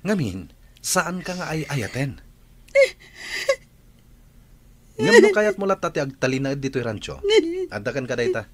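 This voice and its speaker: An actor with a Filipino accent playing a young playboy in a radio drama, torn and evasive about love. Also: male voice, medium pitch, wildly swooping pitch, moderate pace, a bit bright, a little hiss or hum. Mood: hurt, insistent.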